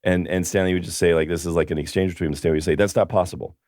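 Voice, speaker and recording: A man co-hosting a casual film podcast, rambling through a story with many restarts, his voice going hoarse. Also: low-pitched, fairly even intonation, racing, darkish tone, dry recording, studio quality. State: losing his voice. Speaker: podcast co-host.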